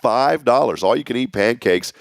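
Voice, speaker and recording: A man hosting a local morning show, upbeat and folksy, his voice going hoarse. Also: mid-range voice, expressive, normal speed, no room sound, clean studio sound. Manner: folksy, upbeat community announcer. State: losing his voice. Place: small local TV studio.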